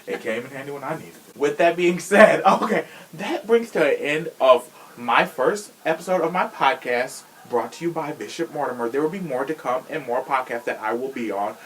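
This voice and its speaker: A man's voice: medium pitch, animated, normal speed, hardly any pauses, fairly bright tone, low-quality recording. Chatty, sassy man hosting a podcast. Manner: chatty, sassy, slangy, emphatic. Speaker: podcast host. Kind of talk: casual opinionated chat.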